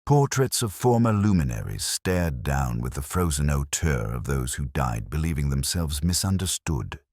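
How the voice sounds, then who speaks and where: A man narrating a literary detective story in the first person, in a measured, solemn and reflective manner. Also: rumbling low, sing-song, darkish tone, dry recording, clean studio sound.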